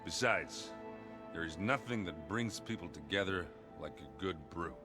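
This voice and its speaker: An actor playing a good-natured, ale-loving rogue in a video game, bluff and wry, with a hesitant, rambling way of talking. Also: male voice, low voice, expressive, a little slow, music in the background. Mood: easygoing.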